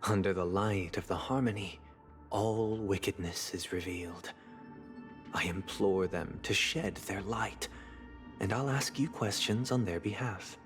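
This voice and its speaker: An actor voicing a composed, fastidious, menacing male head of a powerful family in a video game, speaking in a measured, formal, commanding way. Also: deep voice. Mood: interrogating. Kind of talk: dramatic video game voice acting. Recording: long reverb, game music underneath.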